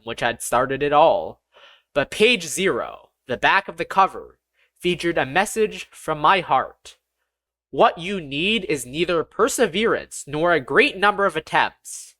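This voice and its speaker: An audiobook narrator reading a scripted first-person story in an expressive, deliberate storytelling manner. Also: mid-range voice, no echo.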